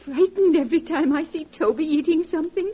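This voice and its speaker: An actress playing a timid, frightened old aunt in 1940s radio style, plaintive and quavering. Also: female voice, high voice, a little fast, warm tone, lightly acted. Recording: muffled vintage 1940s radio recording.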